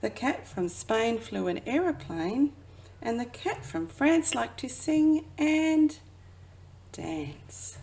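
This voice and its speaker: A woman who works as a children's educator reads a picture book aloud expressively, drawing out phrases and dropping into hushed asides. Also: a little high, sing-song, takes it slowly, vocal fry. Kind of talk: children's storybook read-aloud.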